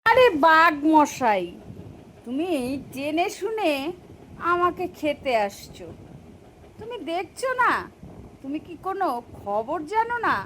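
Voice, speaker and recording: A woman voicing a sly, clever, persuasive fox in an animated children's story, with emphatic drawn-out delivery. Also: high-pitched, a little hiss or hum, heavily acted, poor audio. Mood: warning. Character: sly, clever, smooth-talking fox outwitting a tiger.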